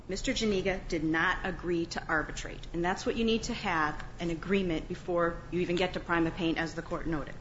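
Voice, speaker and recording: Muffled female lawyer, formal and firm. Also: on the low side. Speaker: lawyer arguing an appeal. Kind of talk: formal oral argument. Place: courtroom. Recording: muffled courtroom recording, faint background noise.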